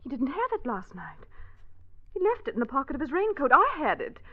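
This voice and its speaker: Casting: a worried wife in vintage radio noir; very high, highly animated voice, urgent and emotional. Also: female voice, brisk. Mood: alarmed. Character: anxious, pleading married woman defending accused man. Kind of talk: 1940s radio mystery acting. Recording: dull lo-fi old radio recording.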